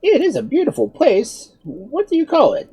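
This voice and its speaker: Narrator putting on a courteous, husky storybook wizard. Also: high voice, sing-song, normal speed, mellow, pitched above the performer's own voice, heavily acted. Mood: polite. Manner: courteous, explanatory. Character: puzzled, polite, cautious male wizard in a fairy tale.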